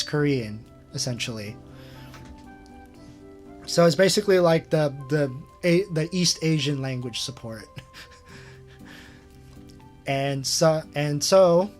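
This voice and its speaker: A man hosting a casual screen-share retrospective, rambling with lots of fillers and chuckling at himself. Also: a little high. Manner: rambling, filler-heavy, self-amused. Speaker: channel host.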